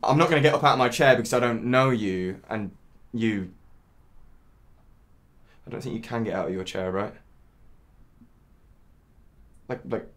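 An actor playing a bitter, self-loathing man in a film monologue, speaking haltingly and searchingly, swearing and repeating his words. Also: fairly low pitch. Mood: frustrated, resigned.

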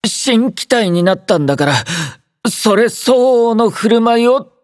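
An actor playing a gentle, quietly caring young male android soldier in a Japanese game, soft-spoken, drowsy and composed, with a mildly teasing manner. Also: very high voice, lively intonation, measured pace, no echo, studio quality. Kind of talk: Japanese game character voice acting.

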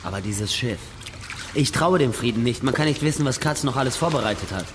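A man's voice: mid-range voice, expressive, fairly quick, noisy background, natural voice. An actor playing an earnest, protective man who turns curt with others, in a crime audio drama. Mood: urgent. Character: protective, brusque man guarding a young woman.

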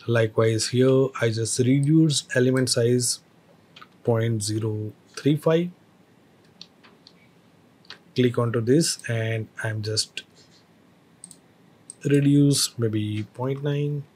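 A man teaching a screen-recorded software tutorial, explaining each step with hesitant phrasing and fillers. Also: mid-range voice, slow, long pauses, husky.

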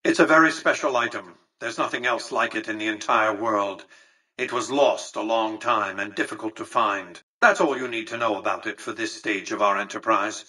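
An actor playing a haughty, calculating ancient tycoon in a video game, speaking in a pompous, precise, condescending way. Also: male voice, lowish voice, normal speed, pushed and bright, breathy edge, recorded from a distance, low-quality recording. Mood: lordly, measured. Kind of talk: scripted video game dialogue.

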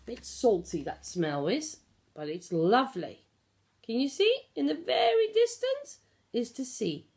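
Pre-school storyteller, female, middle-aged: higher-pitched, very varied intonation, expressive picture-book reading for small children. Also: measured pace. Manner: expressive, explanatory, addressing small children with simple questions. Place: small living room at home. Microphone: phone or tablet at about arm's length.

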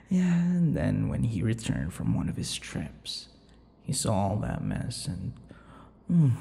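An obsessive, sadistic male vampire lover for an audio roleplay. The voice is very gravelly and slow, sliding into whispers and swinging wildly in pitch. He sounds vain and possessive, with long reverb around every word. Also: mellow, full character voice. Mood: gloating, gleeful. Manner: slow, breathy whispers and giggling, drawn-out words. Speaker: roleplay voice actor. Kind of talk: intimate ASMR boyfriend roleplay.

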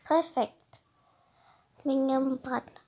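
A woman's voice: sits high, steady tone, slow, warm tone, slightly rough. A young girl from Indonesia narrating her dollhouse game in a casual, chatty, giggly way, talking to herself as she plays. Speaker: game player.

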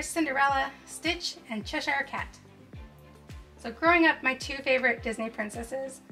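Young woman host, high and bright, excited toy unboxing, faint music under. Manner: gasping, excited exclamations over toys. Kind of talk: cheerful toy unboxing narration. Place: indoors at a tabletop set.

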